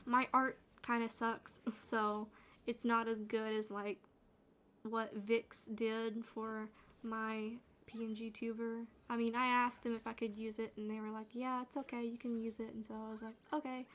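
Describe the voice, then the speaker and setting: A woman gaming streamer with a naturally quiet, soothing voice, chatting in a loose, rambling way while she plays. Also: fairly high pitch, level tone, a little slow. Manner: rambling, with fillers and restarts. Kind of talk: soft-spoken gaming chat.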